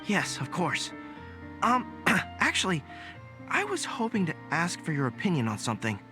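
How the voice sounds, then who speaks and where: A woman's voice: lowish voice, wildly swooping pitch, normal speed, over music. A woman playing a mild-mannered, polite character in a video game, tentative and courteous. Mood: hesitant, puzzled.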